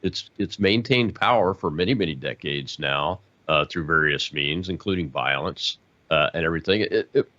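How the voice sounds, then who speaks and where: A male host asking interview questions in a halting way, with many fillers and restarts. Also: sits low, pushed and bright, no room sound, lo-fi sound.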